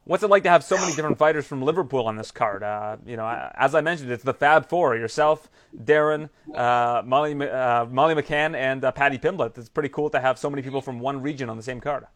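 Fast-talking upbeat sports interviewer man. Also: medium pitch. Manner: upbeat, inquisitive, stumbling restarts.

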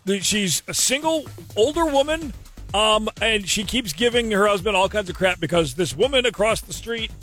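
A male radio host with a notably high voice. He sets up a prank in casual, animated storytelling style, over a faint music bed. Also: normal speed. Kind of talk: casual radio banter setting up a prank call.

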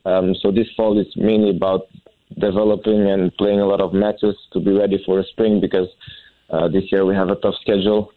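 A young man from Belgium, a college tennis player, answering interview questions in halting with many fillers and restarts. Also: low voice, flat delivery, no room sound. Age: young adult. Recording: tinny phone-like sound.

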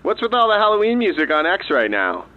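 A man's voice: high voice, huge pitch swings, fast talker. A man playing a casual, suspicious radio host heard on a phone call in a comic radio drama.

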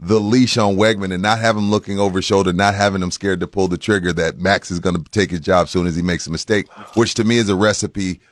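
Low-voiced male sports radio co-host. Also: steady tone, quick pace, few pauses, no room sound, studio quality. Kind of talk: conversational sports radio questioning.

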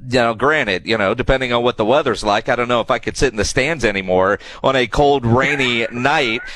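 A man's voice: medium pitch, fairly quick, a bit bright, no echo. A man hosting sports radio in an easygoing, conversational way. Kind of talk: casual radio interview and sponsor reads.

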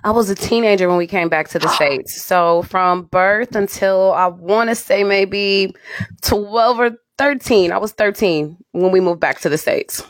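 A young adult woman who grew up in Germany in a US military family, telling stories in a relaxed way as a podcast guest. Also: mid-range voice, unhurried, no echo. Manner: relaxed storyteller, with restarts. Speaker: radio personality, podcast guest. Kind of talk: casual interview chat.

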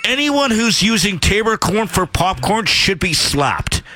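Bright, insistent male radio co-host. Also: sits high, big pitch swings, unhurried. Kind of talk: casual radio banter. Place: radio studio.

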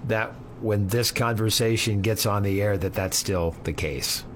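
A man hosting a radio show, asking his guest questions in a polished, measured way. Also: on the low side, slight background noise. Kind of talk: radio interview.

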